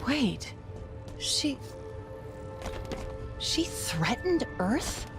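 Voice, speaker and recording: A woman's voice: sits high, very animated, very slow, music in the background, heavily acted. An actress voicing an earnest, anxious female AI companion in a video game, with a wondering, hesitant way of questioning. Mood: shocked, worried. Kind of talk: dramatic video game dialogue.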